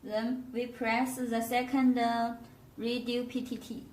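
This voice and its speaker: A woman giving a step-by-step product tutorial as a demonstrator, with halting non-native phrasing. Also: fairly high pitch, little pitch movement, a little mellow. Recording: roomy echo, distant microphone.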